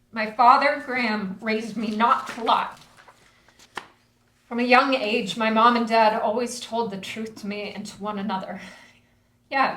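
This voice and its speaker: A woman's voice: fairly high pitch, a bit bright. A woman reading a grieving, reflective woman. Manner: reflective, earnest. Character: grieving woman, Maggie, lost her father. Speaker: actor in a table read. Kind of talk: screenplay table reading. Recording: echoey room.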